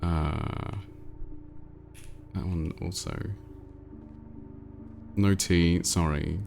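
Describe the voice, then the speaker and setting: Male livestream host, low and creaky, stuffed-up nose, rambling casual chat, faint music behind. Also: fairly even intonation, drawn-out words, a little mellow. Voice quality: nasal, congested. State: congested with a cold. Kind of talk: casual livestream chat, reading viewer messages aloud.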